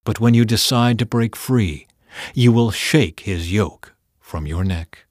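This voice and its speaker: A man voicing an aged patriarch father in a Bible audiobook, solemn, slow and emphatic as he declaims. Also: on the low side, a little mellow, no echo, clean studio sound. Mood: grave.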